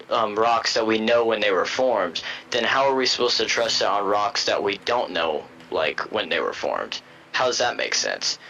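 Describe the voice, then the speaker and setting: A man's voice: lowish voice, bright, forceful tone. An American man co-hosting a casual podcast chat, arguing his points at length with restarts. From United States. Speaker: podcast co-host. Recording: dull lo-fi sound with faint noise.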